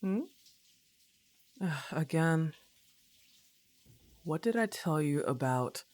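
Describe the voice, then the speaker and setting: A woman's voice: sits low, expressive, slow pace, darkish tone. A woman playing a laid-back, teasing young goth roommate in an ASMR roleplay, with a casual, coaxing manner and a touch of annoyance. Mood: mildly annoyed, probing.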